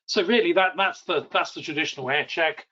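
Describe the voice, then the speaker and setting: A man's voice: a little high, brisk, pushed and bright, muffled recording. A man giving an informal live technical product demo, explaining in a loosely phrased, hesitant way. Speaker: product demonstrator and technical trainer.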